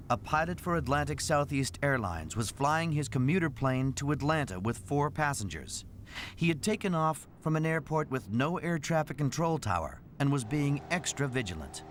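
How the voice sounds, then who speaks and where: A man's voice: fairly high pitch, sing-song, moderate pace, a little hiss or hum. A man reading scripted, dramatic narration as the narrator of a documentary.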